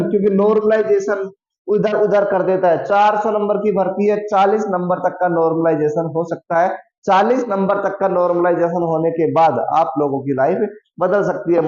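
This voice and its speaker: High-pitched man lecturing, echoey. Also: darkish tone. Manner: repeats key figures and phrases, asks and answers own questions. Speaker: exam coaching teacher and channel host. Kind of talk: explanatory lecture to online students. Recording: echoey room, dull muffled sound.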